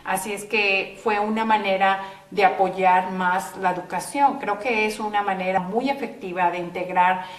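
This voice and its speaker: A woman talks conversationally in a documentary interview, pausing now and then on fillers. She sounds set back from the mic, in a roomy, echoing space. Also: medium pitch, steady tone. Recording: roomy recording from a distance.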